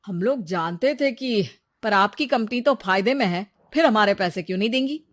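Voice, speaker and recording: A woman's voice: mid-range voice, lively intonation, moderate pace, close to an everyday voice. A woman voicing a scornful, confrontational doubter in a cartoon moral story. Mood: indignant. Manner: confrontational, mocking. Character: challenging, scornful doubter of a newcomer.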